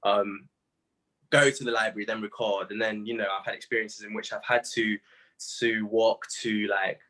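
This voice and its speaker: A man's voice: fairly low pitch, steady tone, moderate pace, fairly bright tone, low-quality recording. A British man in his late teens speaking as a musician in a casual interview, candid and self-reflective, with plenty of slang.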